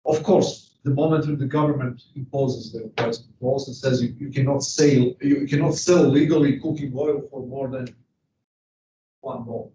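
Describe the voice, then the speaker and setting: A man's voice: medium pitch, steady tone, normal speed, mellow, slight background noise. A man from Serbia, giving an economics lecture in an emphatic way and posing rhetorical questions to the class. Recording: distant, echoey, dull classroom recording.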